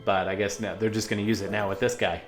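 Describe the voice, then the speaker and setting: A man hosting a reaction video, chatting casually and musingly in halting asides as he comments on a cartoon. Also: on the low side, little pitch movement, music in the background.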